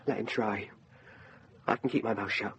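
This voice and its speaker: A young actor playing a loyal, plucky youth held prisoner in a radio drama. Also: male voice, on the low side, steady tone, normal speed, fairly bright tone, slight background noise. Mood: defiant. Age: young adult.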